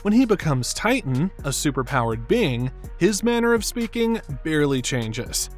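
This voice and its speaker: Slightly high-voiced man narrating a video essay. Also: very animated, normal speed, faint music behind. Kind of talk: scripted narration.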